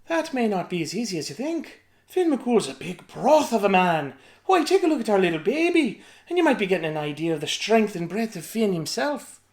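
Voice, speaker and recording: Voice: mid-range voice, sing-song, a little fast, a little mellow. A storyteller doing a sweet-talking giant's wife. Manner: sweetly coaxing.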